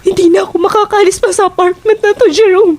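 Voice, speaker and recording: Woman acting an anguished, high-voiced doomed woman. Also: fairly even intonation, quick pace, a little mellow. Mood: doomed defiance. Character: anguished, doomed woman bound to a haunted apartment. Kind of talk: audio horror drama acting.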